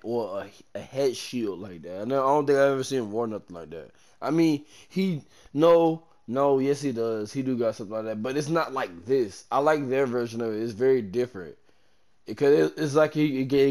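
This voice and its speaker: A young gamer talking excitably through his playthrough, his pitch jumping around a lot as he reacts. His voice sounds a little stuffy from seasonal allergies. He throws in slang and "bro" constantly. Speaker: gaming streamer. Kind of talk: casual gameplay commentary.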